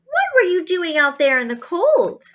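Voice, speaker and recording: A woman voicing a kindly, thoughtful old woman in a children's storybook, gentle and reassuring. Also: extremely high pitch, wildly swooping pitch, normal speed, highs cut off, pitched above the performer's own voice, heavily acted. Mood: concerned. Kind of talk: children's storybook read-aloud.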